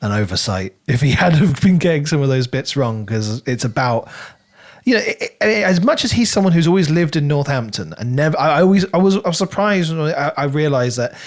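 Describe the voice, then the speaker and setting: A man's voice: a little high, expressive, a little fast. A man co-hosting a podcast, talking casually and chattily through a book discussion with little self-quoting asides. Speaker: podcast co-host reviewing books.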